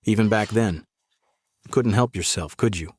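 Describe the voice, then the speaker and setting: A man playing a tired middle-aged policeman in an audio drama, fatherly and conversational while quietly probing. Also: on the low side, unhurried, slight background noise, close to an everyday voice. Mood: calm, probing. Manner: casual, conversational, subtly probing. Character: tired gray-haired cop, fatherly yet quietly dangerous.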